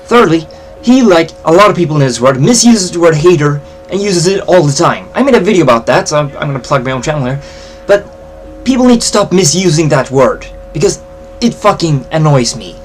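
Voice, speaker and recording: A man's voice: a little high, very animated, soft background music. A man with a thick Swedish accent, bluntly listing complaints in a rant. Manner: blunt, lists points quickly. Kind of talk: ranting to camera.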